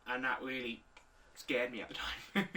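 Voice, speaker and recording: An eighteen-year-old man, calmly answering interview questions with pauses. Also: medium pitch, distant mic, slight background noise. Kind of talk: recorded video interview answers.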